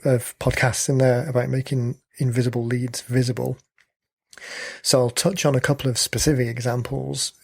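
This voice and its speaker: A man hosting a podcast, explaining things steadily in casual chat, his voice slightly croaky. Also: mid-range voice, no room sound, clean studio sound. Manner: explanatory, steady, leans on "kind of". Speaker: podcast host.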